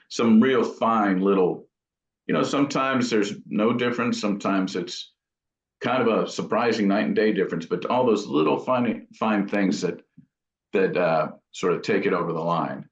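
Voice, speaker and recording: Low-voiced man in a dull, echoey room. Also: moderate pace. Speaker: guitar amp builder and guest. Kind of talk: casual explanatory interview talk. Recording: dull, roomy, echoing audio.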